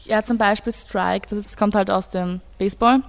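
A woman's voice: mid-range voice, fairly even intonation, moderate pace, creaky, in a large hall. A teenage girl, casually arguing her opinion as a student guest in a radio discussion.

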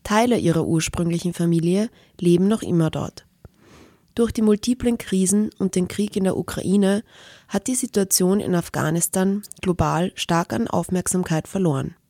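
A woman's voice: on the low side, mellow, no echo, studio quality. A woman, a radio host who reads a scripted introduction and then asks interview questions in a plain, matter-of-fact way, with a few small slips.

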